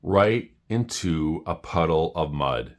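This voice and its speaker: A man's voice: very deep voice, expressive, slow pace. A man narrating a scripted children's bedtime story as a storybook reader.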